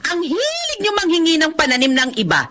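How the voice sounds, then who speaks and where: A woman's voice: extremely high pitch, huge pitch swings, fairly quick, crowd noise, exaggerated voice. A woman playing a haughty, stingy, spiteful wife in a Filipino drama, scornful and snappish. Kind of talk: Filipino radio-style drama acting.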